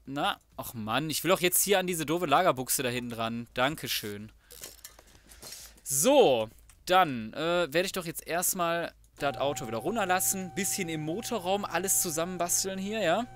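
A man's voice: on the high side, moderate pace, fairly bright tone. A man giving casual, colloquial game commentary, muttering to himself as he works through tasks. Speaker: let's play host. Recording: clear background noise, mouse clicks.

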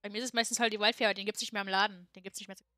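A woman's voice: medium pitch, steady tone, racing, pushed and bright, no echo, studio quality. A woman streaming a game with friends, chatting playfully, teasing and giggling often. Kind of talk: casual gaming chat.

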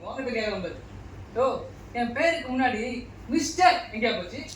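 A man's voice: very high-pitched, sing-song, moderate pace, fairly bright tone, full character voice. A man acting in a comic stage play as a pompous, nitpicking householder, scolding and exasperated. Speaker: stage actor. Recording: distant mics, echoey hall, noisy.

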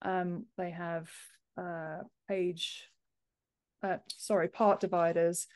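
A woman's voice: on the low side, flat delivery, slow pace, darkish tone. A woman in her thirties talking casually as an interview guest on a video call from a room at home. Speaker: podcast guest, author and book formatter.

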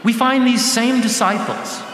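An older man preaching a sermon as a deacon, speaking deliberately in short phrases with dramatic pauses. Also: extremely high pitch. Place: large church sanctuary, at the lectern. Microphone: gooseneck lectern microphone. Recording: echoey church hall with faint background noise.

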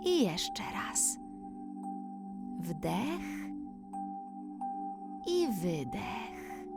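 A woman narrating a scripted bedtime story in a gentle, soothing manner, as if guiding a child toward sleep. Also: on the low side, creaky. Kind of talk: slow scripted bedtime story with guided relaxation. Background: background music.

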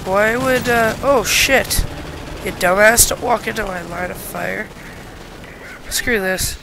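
A congested-sounding gamer giving casual, sweary gameplay commentary with blurted, excitable reactions. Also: mid-range voice, slow, vocal fry. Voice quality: congested, stuffed-up nose. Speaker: video game player recording commentary. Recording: gunfire and game noise behind voice.

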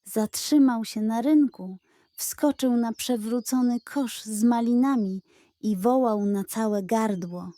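Slow, high-voiced woman storyteller. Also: warm tone, dry recording. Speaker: audiobook narrator. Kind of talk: scripted children's story narration.

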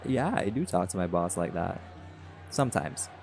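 A man as a cocky jaguar shifter alpha, laid-back, teasing, low-pitched, gravelly, music in the background. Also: lively intonation, normal speed, a little mellow, some chatter behind. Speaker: audio roleplay performer. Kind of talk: scripted audio roleplay monologue. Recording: music playing behind. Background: party music.

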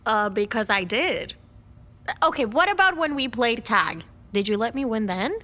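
A feisty young woman in an audio drama. Her voice is high and very bright, with lively, swooping pitch. She sounds like an exasperated younger sister, sharp and challenging. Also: normal speed. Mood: playful, casual. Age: 20s.

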